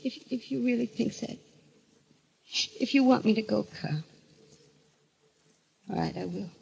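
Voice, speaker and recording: An actress in a vintage radio drama playing a timid, frightened wife being driven toward madness, faltering and pleading. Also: female voice, fairly high pitch, animated, measured pace, creaky, mellow, muffled recording, full character voice. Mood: pleading, resigned. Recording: noisy vintage radio recording.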